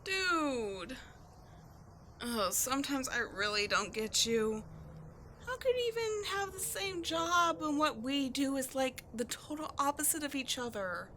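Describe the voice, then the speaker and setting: A brash tomboy adventurer acted by a woman speaks in a high, bright voice. She is sarcastic, cocky and quick to get defensive, with slangy, exasperated delivery in the manner of an audio roleplay. Clear ambient noise sits behind her. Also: expressive, full character voice. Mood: teasing. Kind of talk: scripted ASMR-style audio roleplay, one-sided dialogue.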